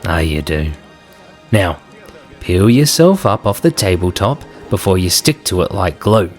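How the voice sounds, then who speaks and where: A man's voice: deep voice, very animated, a little slow. A man playing an easygoing, protective adventurer in a fantasy audio drama, colloquial, teasing and reassuring. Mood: firm, teasing. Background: music.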